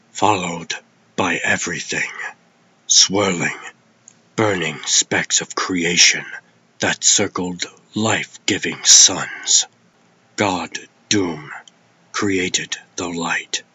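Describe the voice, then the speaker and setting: A man playing a humble young warrior recruit in a comic dub, husky and breathy, halting and reverent. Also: low-pitched, bright, forceful tone, heavily acted, low-quality recording. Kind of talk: theatrical comic dub acting.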